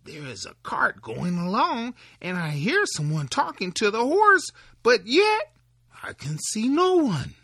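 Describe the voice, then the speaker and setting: A man's voice: sits high, wildly swooping pitch, a little slow, sharp tone, no room sound, studio quality, voice pushed up, exaggerated voice. A man voicing a greedy, scheming stranger who talks in a curious, plotting manner. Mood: puzzled.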